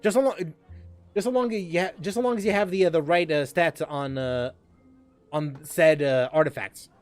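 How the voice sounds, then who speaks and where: A man with a high-pitched voice talks casually as he streams a game. His delivery is halting, with phrases often repeated or started over. Music plays behind him. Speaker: livestream host, gamer. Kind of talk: casual livestream chat while gaming.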